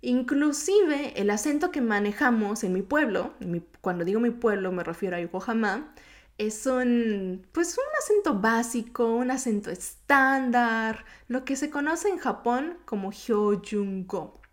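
A woman hosts her own podcast alone, talking in a chatty, informal way with a lilting Mexico City accent. Japanese is her first language, and her voice sits a little higher than most. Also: lively intonation, unhurried. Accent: Mexico City Spanish. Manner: chatty and informal, slightly sing-song. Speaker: podcast host. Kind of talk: solo podcast monologue.

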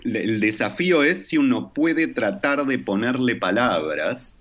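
A man, answering interview questions in a measured, reflective way and checking in with "¿no?". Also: mid-range voice, animated, fairly bright tone. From Argentina. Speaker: guest. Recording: muffled lo-fi audio.

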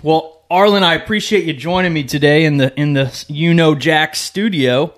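A man's voice: a little high, moderate pace. Casual male podcast host with an everyday voice. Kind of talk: casual chat.

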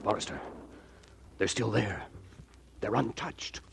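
Deep, grave American army general. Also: male voice, slow pace, creaky, a little hiss or hum, full character voice. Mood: grim. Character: grave army general watching an alien invasion. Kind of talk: 1950s radio drama acting. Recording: 1950s radio broadcast with dramatic music.

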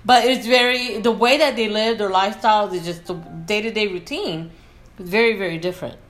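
A woman guest on a casual podcast, reflective and quick to laugh. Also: medium pitch, fairly bright tone, soft background music. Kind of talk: casual chat.

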